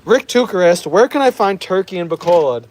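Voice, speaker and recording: A fairly high-voiced American man chats loosely on an outdoor live stream, tripping over his words and laughing now and then. Rain patters steadily in the background. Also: lively intonation, brisk, a little mellow. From United States. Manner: chatty, laughs often, stumbles over words. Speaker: vlogger reading live chat. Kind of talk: casual live stream chat. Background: rain falling.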